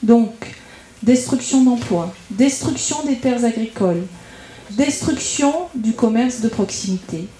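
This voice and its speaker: A woman's voice: fairly high pitch, a little slow, mellow. A woman with a French accent from France delivers a prepared political speech at a public debate, methodically arguing point by point as a local campaigner. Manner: argumentative, methodical, enumerating points. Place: indoor meeting room at public debate. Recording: echoey room at public meeting.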